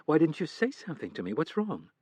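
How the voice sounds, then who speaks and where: A man's voice: fairly high pitch, big pitch swings, quick pace, darkish tone, slightly rough, no room sound, pitched above the performer's own voice. A man voicing a fiery young wife who fires off demanding, accusing questions, in an audiobook reading. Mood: worried.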